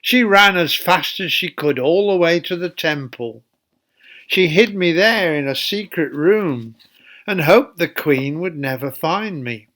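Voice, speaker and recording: Slow-speaking man reading a children's story. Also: on the high side, a bit bright. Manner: deliberate, addresses young listeners with questions. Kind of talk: read-aloud children's Bible story.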